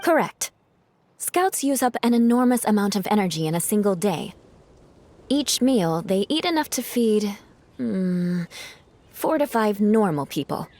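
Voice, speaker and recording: A woman's voice: medium pitch, expressive, a little slow, a little mellow. A woman playing a proud, reserved young knight captain in a video game, composed, formal and matter-of-fact.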